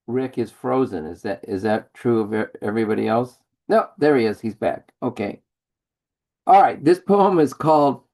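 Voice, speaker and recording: Man on a video call reading poetry, slow and gravelly, takes his time. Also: medium pitch, darkish tone. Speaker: poet reading at open mic.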